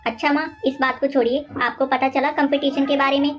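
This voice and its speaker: A woman voicing a naive young daughter-in-law, deferential and full of questions, in an animated moral story. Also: high voice, fast, faint music behind, natural voice. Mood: chatty, curious.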